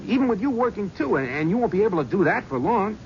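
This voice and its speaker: An actor playing a cynical young talent-agency gofer in a 1970s radio drama, narrating and talking in a brusque, flippant, wisecracking way. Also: male voice, high-pitched, big pitch swings, quick pace. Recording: muffled old radio broadcast, faint hiss.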